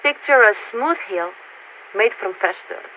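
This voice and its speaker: A woman playing a polite, formal military radio signaler in a video game, speaking in a measured, patient, officious way through a radio filter. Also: on the high side, lively intonation, sharp tone. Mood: patient explaining. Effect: radio transmission filter. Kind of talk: scripted game voice acting. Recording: tinny narrow-band radio with static.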